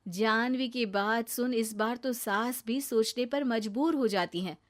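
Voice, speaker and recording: A woman's voice: on the high side, a little slow. A woman reading scripted narration for a moral story as its narrator.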